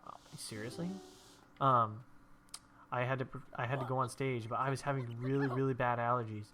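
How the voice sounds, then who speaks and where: A man's voice: medium pitch, fairly even intonation, fairly quick, sharp tone, slight background noise. A young man with a stuffy nose from allergies, rambling casually and telling stories while he plays through a video game. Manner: rambling, chatty storytelling while playing. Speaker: gameplay video host. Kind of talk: casual gameplay commentary.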